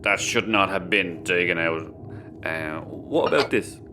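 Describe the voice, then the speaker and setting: A man's voice: deep voice, measured pace, noisy background. A man playing a muddled, fretful crewman in a sci-fi audio comedy, with faint ambience behind him. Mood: befuddled, worried. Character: drunken bumbling Irish crewman tinkering with ship parts.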